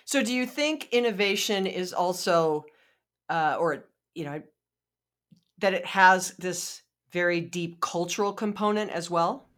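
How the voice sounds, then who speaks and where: Female podcast host, slightly low voice, chatty interviewer. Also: measured pace, a bit bright. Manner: chatty, many fillers and restarts.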